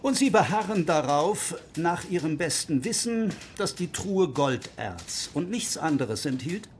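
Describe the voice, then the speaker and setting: An exasperated, officious district attorney in a crime radio play. His fairly high voice puts questions formally and in clipped phrases. There is some noise behind him. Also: sing-song, measured pace. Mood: stern, formal. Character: exasperated, officious middle-aged male district attorney named Lawrence. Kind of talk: radio crime drama acting.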